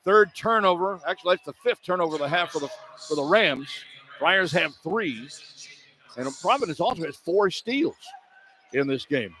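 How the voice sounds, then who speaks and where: A man's voice: sits high, expressive, moderate pace, pushed and bright. A man doing live radio play-by-play of a basketball game, describing the action in clipped running phrases. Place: courtside in a basketball arena. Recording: clear arena noise behind the voice. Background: arena ambience.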